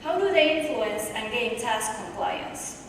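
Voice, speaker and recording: A woman's voice: fairly high pitch, expressive. Finnish-accented woman presenting in echoey hall. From Finland. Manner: measured, explanatory, poses rhetorical questions. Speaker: conference presenter. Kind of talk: academic paper presentation. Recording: echoey distant hall recording with noise.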